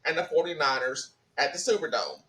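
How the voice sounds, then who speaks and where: A laid-back man from Mississippi answers interview questions in a measured way. His pitch sits a little high and moves a lot. He sounds roomy and set back from the mic, as if heard over voice chat. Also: animated, bright, forceful tone, distant mic. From Mississippi, USA. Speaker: podcast guest.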